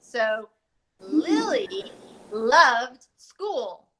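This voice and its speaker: A woman's voice: fairly high pitch, sing-song, drawn-out words, noisy background. A woman speaking expressively and directly to children as a teacher doing a storybook read-aloud. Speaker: teacher reading aloud to pupils.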